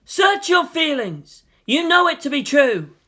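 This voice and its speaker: A reader acting out an impassioned young warrior-preacher, high and bright in voice. He declaims with zeal, as if rallying a crowd in an audio story. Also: big pitch swings, a little slow, full character voice. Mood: fervent, pained. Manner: oratorical, zealous, declamatory. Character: impassioned young man preaching with chainsword through megaphone. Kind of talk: dramatic fan fiction reading.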